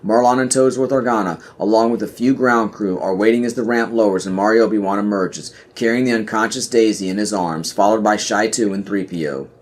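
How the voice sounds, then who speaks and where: A man's voice: fairly low pitch, fairly quick, few pauses. A man reading scripted scene directions as the narrator of a podcast audio play.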